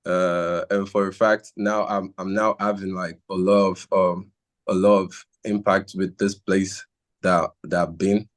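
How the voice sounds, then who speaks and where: Deep flat-voiced young man. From Nigeria. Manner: earnest, halting, circles back on his words. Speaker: musician guest. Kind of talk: casual interview answers.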